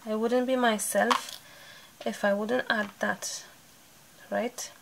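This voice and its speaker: Slow, gravelly, chatty craft woman. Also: on the high side, steady tone, background noise. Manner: chatty, thinking aloud, light laughs. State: slightly hoarse from a sore throat. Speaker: craft channel host. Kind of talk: casual crafting tutorial voice-over.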